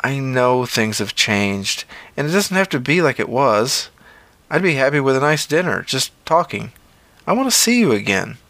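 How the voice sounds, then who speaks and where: A man's voice: medium pitch, very animated, normal speed, a bit bright, dry recording, voice pushed up. A man voicing an eager, persistent former lover in an audio story, coaxing and insistent. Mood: pleading. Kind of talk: audio fiction dialogue reading.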